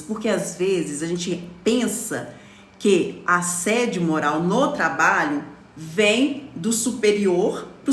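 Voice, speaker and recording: A woman's voice: medium pitch, fairly bright tone. Brazilian woman in her forties, echoey room. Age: 40s. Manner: explanatory, stops often to read comments, says "tá?". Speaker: lawyer and live-stream host. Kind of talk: live-stream talk to followers.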